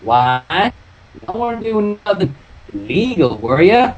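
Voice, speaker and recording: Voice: mid-range voice, big pitch swings, measured pace, lo-fi sound, a crowd in the background. A reader voicing a cheerful, folksy and suspicious country pony mare in a fan fiction reading, talking in rustic dialect.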